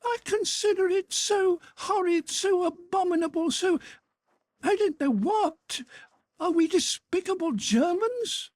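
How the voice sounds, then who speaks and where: A man reading an audiobook, voicing a passionate young noblewoman with a shrill, pleading voice. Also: measured pace, a little mellow, dry recording. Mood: indignant. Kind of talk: audiobook character voice.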